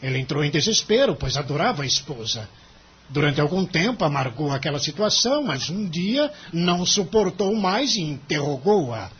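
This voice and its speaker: A Brazilian actor playing a cynical, money-minded senior lawyer in an audio drama, emphatic and condescending. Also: male voice, fairly high pitch, sing-song, normal speed, fairly bright tone, slightly rough, dull audio, full character voice. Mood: grave, gossipy. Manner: emphatic, condescending, theatrical delivery.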